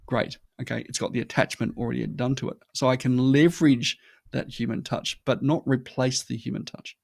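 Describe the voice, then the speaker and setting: A man with an Australian accent, a guest on a marketing podcast who talks in a lively, anecdotal way. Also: mid-range voice, fairly quick, creaky, no echo, clean studio sound. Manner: animated storytelling, acts out customer voices. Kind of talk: conversational interview.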